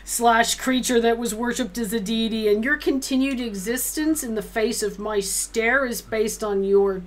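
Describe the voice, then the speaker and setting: A middle-aged woman interviewing casually as a co-host, talking in an animated, effusive way. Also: fairly high pitch. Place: small room at home, indoors.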